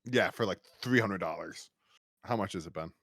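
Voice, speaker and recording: American male podcast host, on the low side, chatty and stumbling over restarts, recorded dry. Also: normal speed, creaky, a bit bright, dry recording. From United States. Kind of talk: casual review podcast chat.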